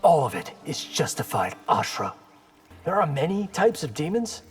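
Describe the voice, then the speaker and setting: Cocky young game ninja, lightish pitch, lively, music underneath. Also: male voice, moderate pace. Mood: wary. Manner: cocky, sardonic. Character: brash young ninja, defiant reformed gangster son. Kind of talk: video game voice acting.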